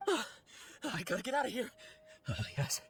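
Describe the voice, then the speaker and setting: A terrified, paranoid man lost in a video game forest. His speech is trembling and disjointed, full of stammers, and his pitch swings wildly. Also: sing-song, unhurried, vocal fry, fairly bright tone, full character voice. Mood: muttering, fearful. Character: panicked, delirious male herb gatherer, fearful and paranoid. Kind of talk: video game character acting.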